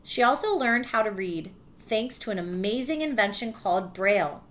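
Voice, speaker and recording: A woman's voice: medium pitch, animated. A woman teacher reading a picture book aloud to her class, stopping to explain and check understanding. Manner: warm encouraging teacher voice, pausing to explain.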